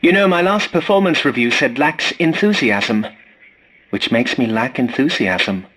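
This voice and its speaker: An actor playing a fussy, polite, snarky scavenger robot in a video game, with a prim, formal and dryly sarcastic delivery. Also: male voice, medium pitch, lively intonation, unhurried, fairly bright tone, poor audio. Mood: dry, grumbling. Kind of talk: scripted video game character lines.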